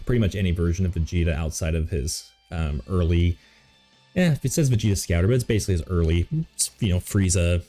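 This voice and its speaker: A deep-voiced man with a warm, mellow tone chats loosely about games, full of ums and restarts. He is coming down with a cold and sniffles a little. Faint game music plays underneath. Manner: rambling, relaxed, many ums and self-corrections. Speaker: gaming video host. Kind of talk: casual commentary ranking game characters.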